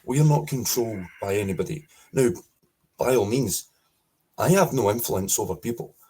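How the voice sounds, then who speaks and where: A Scottish man speaking forcefully as a guest in a political interview, repeating his points with emphasis. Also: fairly low pitch, animated, moderate pace, husky. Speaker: podcast guest.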